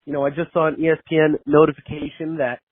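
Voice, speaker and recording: A man leaving a casual voicemail as a fan, halting, frustrated and pleading, over a phone line. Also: fairly high pitch, level tone, dry recording. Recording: muffled, dry phone voicemail.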